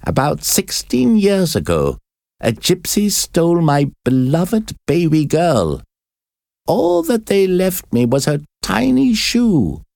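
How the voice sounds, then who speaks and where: A man voicing an older grieving mother, crying out in a very high, tearful voice. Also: huge pitch swings, takes it slowly, vocal fry, darkish tone, dry recording, clean studio sound, heavily acted. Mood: bitter.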